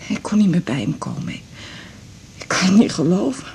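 Husky, breathy, fretful widowed mother. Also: lowish voice, big pitch swings, a little mellow, full character voice. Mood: grieving, distraught. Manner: fretful, repeating herself, halting. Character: anxious, bewildered mother, newly widowed, clinging and fretful. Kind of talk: 1980s Dutch radio drama acting.

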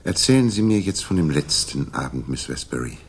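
An actor playing a calm, probing gentleman detective with measured, formal courtesy and a husky voice in a 1960s German radio crime drama. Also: a bass voice, exaggerated voice. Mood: probing, earnest.

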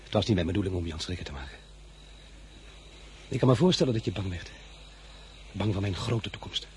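An actor playing a confident, ambitious young officer in a radio drama. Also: male voice, deep voice, lively intonation, brisk, a little mellow, slight background noise. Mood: intimate. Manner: confident, grandiose. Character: young ambitious officer, grand and self-assured.

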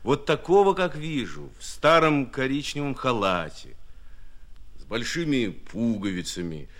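An actor in a Soviet radio play voicing a respectful, persistent nineteenth-century painter with formal, courteous phrasing. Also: male voice, medium pitch, close to an everyday voice. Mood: earnest, persuasive. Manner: courteous, formal, declamatory old-fashioned phrasing.

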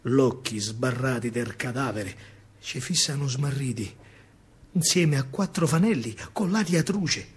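A man with a Roman accent telling a story in dramatic dialect verse, swinging between hushed and loud. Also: medium pitch, measured pace. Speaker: stage storyteller, actor. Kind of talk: theatrical verse monologue in dialect. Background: faint live music.

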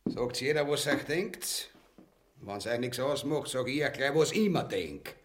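A man's voice: mid-range voice, expressive, a little slow, lightly acted. A male actor playing a crude, scheming usurper in a farcical radio play, with an Austrian accent and a blustering, colloquial delivery. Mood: scheming, smug.

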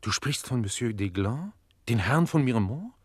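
An actor playing a haughty, easily provoked gentleman master in a German radio play, lordly and condescending. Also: medium pitch, animated, normal speed, breathy edge, no echo, clean studio sound. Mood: wistful.

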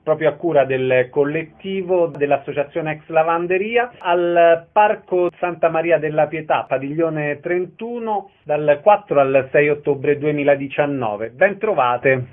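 A man with a fairly high voice, coming through a narrow, phone-like radio sound. He hosts an interview, presenting his guests and asking short questions. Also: a little slow, hardly any pauses.

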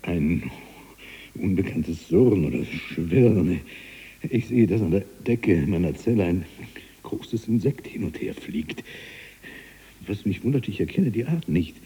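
An actor playing a learned, fearful prisoner in a brooding radio drama monologue, his husky, breathy voice halting and given to whispers. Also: deep voice, expressive, warm tone, exaggerated voice. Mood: uneasy, narrating.

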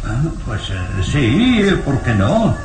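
An actor playing a nervous, evasive elderly professor, stammering deferentially in a radio drama. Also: male voice, medium pitch, wildly swooping pitch, slow, over loud music. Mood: flustered, hesitant. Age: older. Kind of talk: radionovela acting.

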